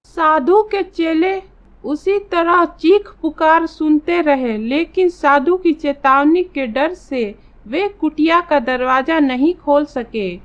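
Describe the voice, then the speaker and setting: High-pitched, slow woman storyteller. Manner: measured, storytelling. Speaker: story narrator. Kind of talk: scripted children's story narration in Hindi. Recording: slightly dull, lo-fi sound.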